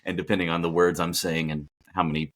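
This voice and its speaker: A man with a very deep voice, a hospital chaplain who grew up in Texas. He talks in an unhurried, reflective way, circling back and restarting his sentences as he thinks aloud in a podcast interview. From Texas, Dallas area. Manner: relaxed and reflective, many fillers and restarts. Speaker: hospital palliative care chaplain, podcast guest. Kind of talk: casual interview talk.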